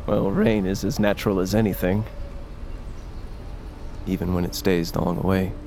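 An actor playing a grieving, enraged young grandson bent on vengeance in an audio drama, with impassioned, formal, old-world phrasing. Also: male voice, low-pitched, steady tone, normal speed, gravelly, a little mellow, a little hiss or hum. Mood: low resolve. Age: young adult. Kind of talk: audio fiction drama acting.

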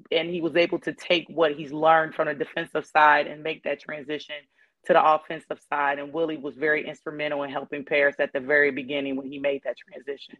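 A hoarse woman speaking as a guest in a casual interview, measured and pausing often with ums. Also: low voice, no echo. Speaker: guest, mother of a player. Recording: dull lo-fi sound.

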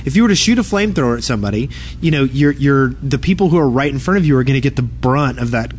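A male podcast co-host talks casually about games with a mid-pitched voice. He is recorded live on a convention floor, with background noise around him. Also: expressive, quick pace. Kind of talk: casual gaming podcast chat. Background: convention ambience and loudspeaker.

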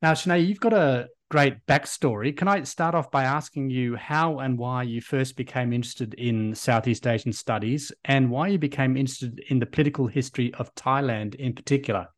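A man hosting a podcast interview, reading a measured, formal introduction before questioning his guest. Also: medium pitch. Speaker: podcast co-host and history lecturer.

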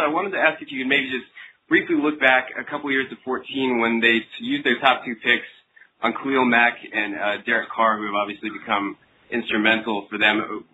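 A man's voice: medium pitch, sharp tone. A man working as a sports reporter, politely putting a conversational question on a conference call. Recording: dull phone call with faint noise.

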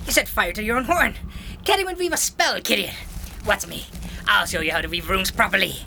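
A woman's voice: medium pitch, expressive, a little fast, very noisy. A woman playing a spiteful young horned male runt in a fantasy audio drama, taunting and snarling. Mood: cocky, taunting. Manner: taunting, snarling, breathy laughs. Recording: loud music under the voice.

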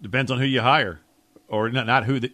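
A man's voice: mid-range voice, fairly even intonation, fairly quick, bright, forceful tone, no echo. An American man co-hosting sports talk radio with casual, opinionated analysis.

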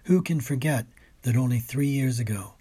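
Male narrator, mellow and husky, medium pitch, scripted race report, dramatic literary delivery. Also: moderate pace. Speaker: food, wine and travel writer.

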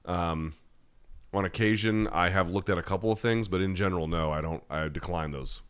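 Very deep-voiced American man hosting a podcast. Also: normal speed, fairly bright tone, smooth. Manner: blunt, conversational, opinionated. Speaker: podcast host. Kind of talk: live chat, reading and answering listener questions.

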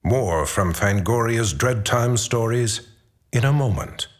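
Low-voiced male radio announcer. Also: animated, slow pace. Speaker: programme announcer. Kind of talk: scripted credits announcing. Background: loud guitar music.

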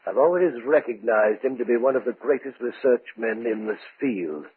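An actor playing a grave, intense male research scientist in an old-time radio horror drama, speaking deliberately. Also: medium pitch, lively intonation, slight background noise, close to an everyday voice. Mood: dramatic, somber. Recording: muffled, roomy vintage radio recording.

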